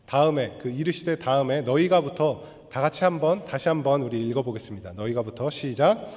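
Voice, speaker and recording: A man preaching a sermon as pastor, his voice hoarse, speaking in a measured, explanatory way and leading the congregation through a reading. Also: medium pitch, a little mellow. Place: church sanctuary. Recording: long hall reverb.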